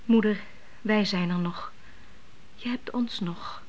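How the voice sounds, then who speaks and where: An actress playing a wise, devout young wife in a biblical radio play, thoughtful, earnest and reassuring. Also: female voice, mid-range voice, takes it slowly, darkish tone, muffled recording, close to an everyday voice. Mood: consoling. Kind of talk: Dutch radio play acting.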